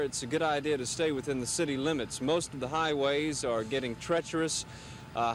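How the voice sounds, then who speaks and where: Male field reporter, mid-range voice, measured news delivery, slight outdoor noise. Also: medium pitch. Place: outdoors beside a highway. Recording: noisy outdoor field recording.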